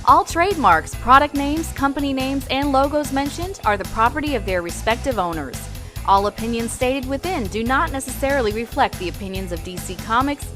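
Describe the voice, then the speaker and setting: Lively woman announcer over music. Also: fairly high pitch. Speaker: podcast announcer. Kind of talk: scripted credits narration.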